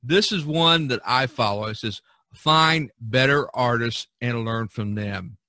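Bright-voiced man hosting a casual podcast. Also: medium pitch, measured pace, dry recording. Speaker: podcast host. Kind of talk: casual chat about topics from a list.

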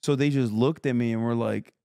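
A man's voice: medium pitch, steady tone, a little fast, gravelly, warm tone, no echo, clean studio sound. Blunt, profane man from Turkey. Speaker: podcast co-host. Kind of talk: casual chat. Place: indoor podcast studio with sofas. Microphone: boom microphone close to the mouth.

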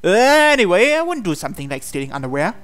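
A man reading visual novel dialogue aloud in character, with a hesitant, halting delivery. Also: high voice, very animated, fairly bright tone. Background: game music.

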